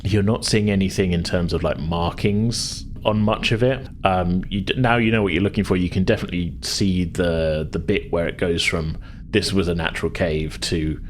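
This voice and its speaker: A man's voice: deep voice, steady tone, moderate pace, hardly any pauses, a little hiss or hum. A man working as game master, narrating a tabletop sci-fi adventure in a hesitant, conversational, descriptive way.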